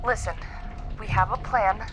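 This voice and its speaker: A woman playing a calm, commanding rescue leader in a science fiction audio drama. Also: mid-range voice, a little slow, music in the background, natural voice. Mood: urgent, directing.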